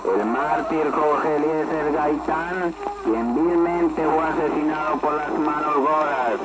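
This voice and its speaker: A man's voice: fairly high pitch, slow, heavy background noise. A man delivers a fiery, declamatory political harangue in an archive radio recording. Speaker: agitator on radio.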